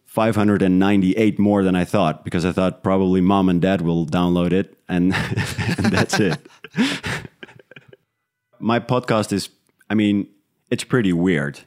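A man's voice: low-pitched, a little slow, darkish tone. A man from the Netherlands talking casually and reflectively as an interview guest about creative work.

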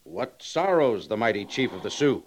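An actor in a 1950s western radio drama playing a courteous, authoritative cavalry major with a formal, measured manner. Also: male voice, fairly low pitch, very animated, a little hiss or hum. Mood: formal, grave.

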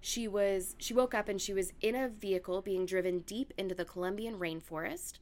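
Raspy-voiced woman chatting on a podcast. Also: a little fast. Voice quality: deep raspy voice from yelling. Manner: chatty, laughs while talking. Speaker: podcast co-host. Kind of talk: casual chat and storytelling.

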